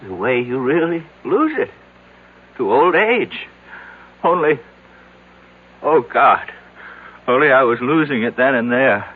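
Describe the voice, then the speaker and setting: An actor playing a dying, delirious man suddenly aged, halting and pleading in a radio drama. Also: mid-range voice, lively intonation. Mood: anguished. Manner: halting, repetitive, emotionally swinging delivery. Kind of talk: 1970s radio drama acting. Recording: muffled, noisy old radio drama recording.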